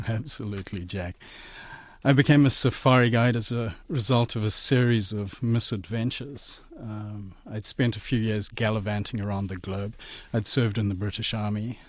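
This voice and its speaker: A middle-aged man from Zimbabwe, a radio interview guest telling his life story in an articulate, measured way. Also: on the low side, steady tone, no echo.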